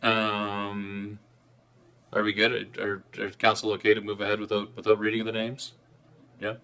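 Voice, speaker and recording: A man with a fairly low voice chairs a meeting in a council chamber. He calls motions and introduces speakers in a brisk, friendly, procedural manner. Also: completely flat delivery, pushed and bright.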